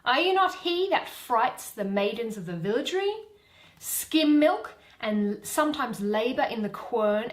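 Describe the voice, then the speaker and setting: Woman playing a dainty, lilting, slow-spoken fairy. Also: on the high side. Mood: curious, teasing. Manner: lilting, theatrical verse delivery. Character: dainty wandering female fairy serving the fairy queen.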